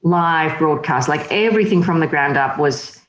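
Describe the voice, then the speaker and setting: A woman from Slovakia chats as a guest on an interview show, lively and quick to laugh. Her voice sits fairly low for a woman. The room around her is echoey and open. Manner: lively and chatty, laughs often. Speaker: magazine editor, interview guest. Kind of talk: casual interview chat.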